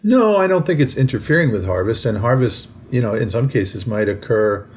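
An American man talking conversationally as a podcast co-host, in a measured, explanatory way with frequent asides. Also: mid-range voice, animated, a little mellow. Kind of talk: conversational current-events discussion. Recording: faint background noise.

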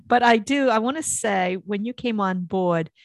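A middle-aged woman hosts a conversational interview. Her voice sits at an average pitch for a woman, and the recording is close and dry with little room sound. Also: normal speed, no room sound. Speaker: interview host, former small-town mayor.

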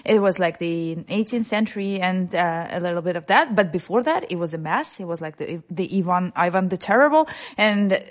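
A woman's voice: fairly low pitch, expressive. Emphatic, sardonic woman. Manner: emphatic, sardonic, quick to interrupt. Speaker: radio journalist guest. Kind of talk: casual discussion.

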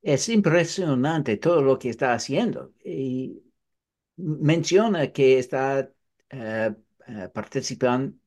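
A man hosting an interview, asking polite questions in a hesitant, halting way. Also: medium pitch, animated, darkish tone. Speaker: interview host.

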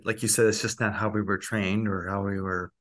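A man's voice: on the low side, little pitch movement, fairly quick, a bit bright. A middle-aged man interviewing in a conversational way, reflective and tentative as he thinks aloud. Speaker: interviewer and school principal.

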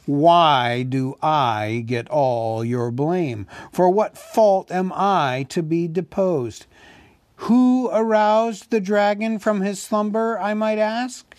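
A man's voice: a little high, expressive, measured pace, darkish tone, no echo, clean studio sound. A man voicing a pompous, wily old town master who argues his case like an orator in a storybook. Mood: indignant. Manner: oratorical, rhetorical questions.

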